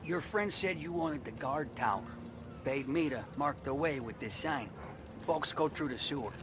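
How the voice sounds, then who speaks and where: An actor playing a wary vagrant guide in a crime game, speaking in clipped, informal phrases. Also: male voice, fairly high pitch, moderate pace, music in the background, close to an everyday voice.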